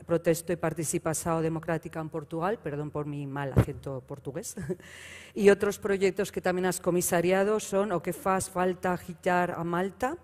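A woman's voice: lowish voice, fairly even intonation, moderate pace, darkish tone. A middle-aged woman moderating a conference panel, listing common points and introducing a speaker, with brief self-corrections. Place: large hall on stage. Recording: long reverb in big hall.

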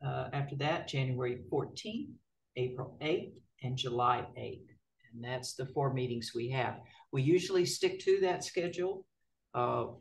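A board chair running a city meeting in a folksy, relaxed way, with many filler words. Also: mid-range voice, a little hiss or hum. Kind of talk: formal meeting procedure mixed with casual remarks. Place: city council chamber. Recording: distant mic in a meeting room.

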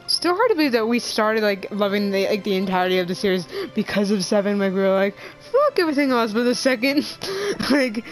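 A young woman chatting casually as she plays a game, excitable, giggly and rambling. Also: mid-range voice, a little fast, hardly any pauses, faint music behind, rough recording. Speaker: gamer. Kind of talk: casual chat over gameplay.

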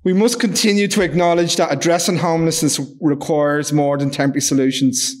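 A loud-voiced man in his fifties delivers a prepared address from a podium microphone in a large ornate room. His pitch sits slightly high, and he reads in a measured, formal way with the odd filler and restart. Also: steady tone. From Ireland. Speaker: charity executive giving a speech. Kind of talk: reading a prepared speech at a podium.

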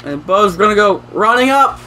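A young man playing a video game with a friend, calling out the action with excitable exclamations. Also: sits high, moderate pace, a little hiss or hum, rough recording. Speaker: co-player. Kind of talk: casual play-by-play banter.

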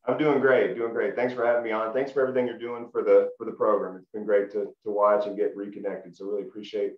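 A man's voice: fairly low pitch, fast, reverberant. Man telling stories over a dull video call. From Middle Tennessee. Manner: relaxed storytelling with frequent restarts. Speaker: guest, former college football player. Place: small room at home, indoors.

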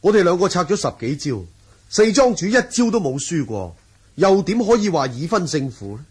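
A man acting a humble, courteous young swordsman in a Hong Kong Cantonese radio drama, husky and modest in manner. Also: a little high, big pitch swings, normal speed, a little mellow.

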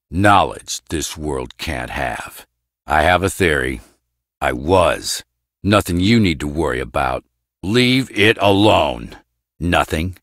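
An actor playing a gruff, guarded, brooding scientist in a video game, with a terse, clipped, deadpan delivery. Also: male voice, low voice, no room sound, clean studio sound.

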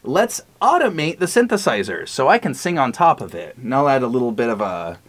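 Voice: medium pitch, sing-song, brisk. Upbeat tutorial host, casual n playful, voice goes up and down a lot. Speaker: music tutorial video host. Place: small cluttered home music studio room.

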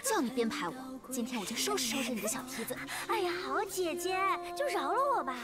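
A woman's voice: high-pitched, big pitch swings, quick pace, over loud music. A woman playing a teasing, haughty young maiden in a period fantasy game cutscene, with a playful theatrical manner. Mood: playful, excited. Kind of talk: cinematic game cutscene acting. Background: loud cinematic score.

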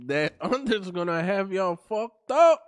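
A man's voice: high-pitched, lively intonation, a little slow, dry recording, clean studio sound. A man streaming a roleplay game, chatting in a playful, slangy way with a lot of laughter, from an indoor desk mic. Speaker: streamer playing a roleplay character. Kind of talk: casual roleplay banter.

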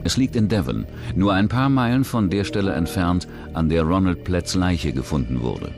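A man's voice: deep voice, animated, moderate pace, music in the background. A man narrating a true-crime documentary from a script.

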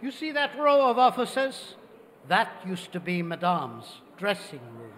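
A man's voice: high voice, wildly swooping pitch, fairly bright tone, slight background noise, exaggerated voice. A man playing a solemn, devoted, formal butler in a radio drama. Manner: formal, deliberate. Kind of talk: old-time radio drama acting. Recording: reverberant theatre stage recording.

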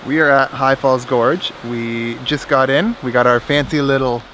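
A man's voice: medium pitch, steady tone, normal speed, a bit bright, faint music behind, poor audio. Upbeat young man vlogging on the go. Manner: upbeat, chatty, laughs easily. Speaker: travel vlogger. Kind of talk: casual travel vlog chat. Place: outdoors in snowy woods, then hotel room. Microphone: handheld camera held at arm's length.

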